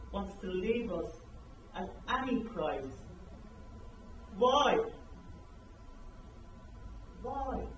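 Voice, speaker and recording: A man playing a regretful middle-aged husband and father in an amateur stage reading, speaking earnestly and haltingly. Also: sits high. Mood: puzzled, pensive, then troubled, hurt. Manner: halting, deliberate, earnest delivery. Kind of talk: dramatic reading of a stage play. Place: echoing room or hall, recorded from afar. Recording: echoey room, distant mic, loud noise.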